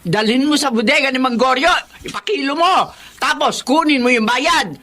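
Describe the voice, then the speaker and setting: A woman's voice: sits high, normal speed, pushed and bright. A woman playing a quarrelsome, scolding elderly mother with a Filipino accent in a radio drama. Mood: nagging. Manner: scolding, nagging, insistent.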